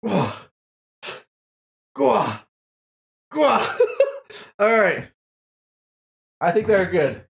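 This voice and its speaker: A playful streamer talks casually to an online audience. The pitch jumps around with great liveliness, and phrases come out as exclamations, often repeated for effect. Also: very animated, drawn-out words, creaky, pauses often, husky. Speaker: livestream host. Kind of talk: casual livestream chat to viewers.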